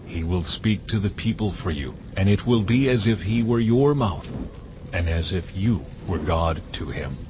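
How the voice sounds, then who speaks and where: An actor playing God as a solemn, deliberate, authoritative divine voice in a dramatized scripture reading. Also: male voice, sits low, expressive, background noise. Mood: stern, authoritative.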